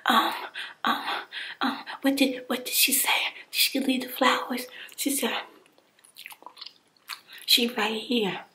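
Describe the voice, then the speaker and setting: A woman's voice: high voice, level tone, measured pace, pushed and bright. A woman telling a personal story in a heated whisper, emphatic and exasperated, swearing and repeating herself in casual slang.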